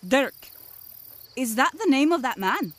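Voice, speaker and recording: An actress playing a dignified, solemn selkie elder with formal, ornate speech in a fantasy audio drama. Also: female voice, high voice, big pitch swings, unhurried, fairly bright tone, heavily acted. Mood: taken aback.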